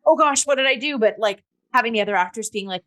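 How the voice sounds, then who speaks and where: A woman's voice: a little high, fast, fairly bright tone. A woman co-hosting a casual theatre review, chatty and peppering her talk with "like". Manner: chatty, frequent "like" and hedging.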